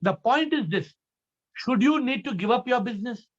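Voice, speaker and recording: A man's voice: extremely high pitch, animated, moderate pace. A man with an Indian accent, a spiritual teacher answering questions in an emphatic, didactic way, often repeating phrases and posing rhetorical questions. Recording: dull lo-fi online call audio.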